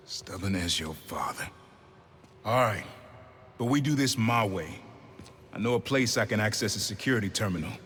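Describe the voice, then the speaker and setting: An actor playing a gruff, protective middle-aged uncle with a terse, streetwise way of talking, in a dramatic video game scene. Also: male voice, on the low side, expressive, moderate pace, pauses often, a bit bright. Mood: serious, guarded. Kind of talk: dramatic game cutscene acting. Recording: reverberant space, faint noise.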